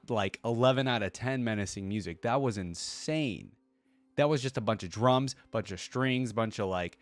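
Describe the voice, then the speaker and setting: A man's voice: mid-range voice, no echo. A man talks casually as the host of a reaction video, with a mid-range voice. His delivery is informal and slangy, speaking straight to his viewers like a fellow fan. The sound is dry, with very little room on it.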